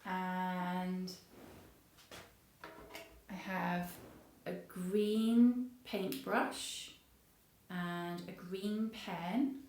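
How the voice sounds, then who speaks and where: A woman's voice: on the low side, steady tone, very slow, pauses often, a little mellow, a little hiss or hum. A woman reading a story and chatting to young viewers in simple, child-directed phrases. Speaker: playgroup story reader and presenter.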